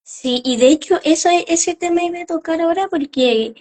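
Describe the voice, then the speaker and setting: A woman's voice: extremely high pitch, normal speed, a little mellow. A woman hosting a live-stream interview, asking questions in a chatty way with many "eh" and "ujú" fillers.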